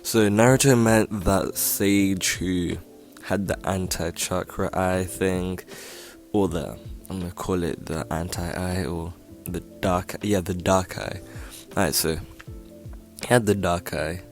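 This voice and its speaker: Young male YouTuber, very low and plain voice, loose rambling delivery, faint music bed. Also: steady tone, takes it slowly. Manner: rambling, lots of fillers and self-corrections; says he has a really boring voice. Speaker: YouTuber narrating a fan story. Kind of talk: casual fan-fiction retelling.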